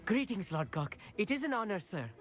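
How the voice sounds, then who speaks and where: A bookish, eager schoolboy in a fantasy game. The voice is high and very lively in pitch, polite but hesitant. Light music sits behind it. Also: male voice, a little fast. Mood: reverent. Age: young adult. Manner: hesitant, self-correcting, polite. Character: eager, nervous, bookish teenage schoolboy, polite and easily excited. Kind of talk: video game acting.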